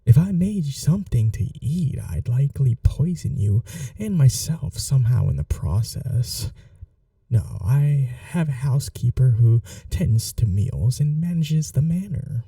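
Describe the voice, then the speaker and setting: A man playing a gentle, old-world vampire gentleman in an intimate ASMR roleplay, speaking in a polite, soothing, softly formal way. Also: mid-range voice, lively intonation, a little slow, no echo, distant mic. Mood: courteous, lightly amused.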